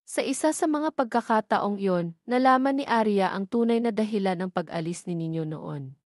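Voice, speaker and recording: A woman reading scripted dramatic narration of a love story in a measured, sentimental manner. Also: mid-range voice, expressive, no echo, studio quality.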